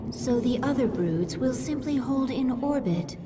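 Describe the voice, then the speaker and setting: An actress playing a dutiful alien advisor who speaks slowly and formally. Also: female voice, high-pitched, expressive, big-room sound, distant mic, noisy background. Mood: detached. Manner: slow, formal, detached. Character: alien swarm advisor, female, dutiful, cold.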